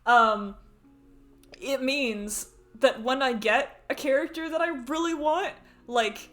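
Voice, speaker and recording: Slow, chatty woman streamer. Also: sits high, soft background music. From Canada. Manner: excitable, rambling, chatty. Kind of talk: casual live game stream chat.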